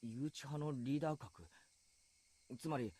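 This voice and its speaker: A man's voice: on the high side, brisk, dark tone, slightly rough. A man acting an earnest, curious teenage boy in a Japanese visual novel. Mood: earnest, subdued.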